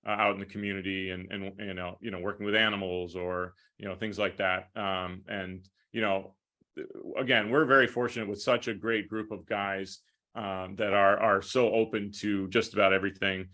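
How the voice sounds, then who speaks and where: An American man answering interview questions conversationally as a guest broadcaster, with measured, hesitant phrasing. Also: lowish voice, flat delivery, sharp tone. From Minnesota, United States. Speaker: podcast guest, hockey play-by-play broadcaster.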